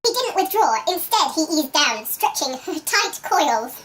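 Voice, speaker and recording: Very high, bright woman reading dramatically. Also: sing-song, a little slow, a little hiss or hum. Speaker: reader of book excerpts. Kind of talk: dramatic amused reading aloud. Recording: distant from the microphone.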